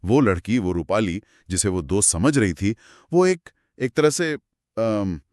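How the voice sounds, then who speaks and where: A man's voice: fairly low pitch, lively intonation, unhurried, a little mellow, no echo, clean studio sound. A man hosting a conversational horror storytelling show, narrating in dramatic, short suspenseful phrases. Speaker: host narrating horror stories.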